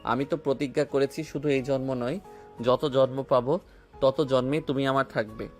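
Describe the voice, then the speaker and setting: A man playing an affectionate, playful young husband in a romantic audio drama, speaking in a tender, teasing way, with soft music underneath. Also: medium pitch, fairly even intonation, normal speed, close to an everyday voice.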